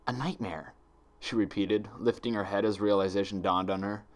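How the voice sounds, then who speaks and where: A man narrating a written story in a deliberate, dramatic storytelling manner. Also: lowish voice, level tone. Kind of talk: scripted narration, dramatic fan fiction reading.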